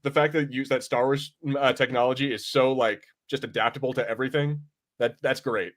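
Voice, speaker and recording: A man's voice: medium pitch, steady tone, a little fast. Rambling man chatting on a podcast. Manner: rambling, acts out imagined lines. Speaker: podcast host. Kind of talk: casual review chat.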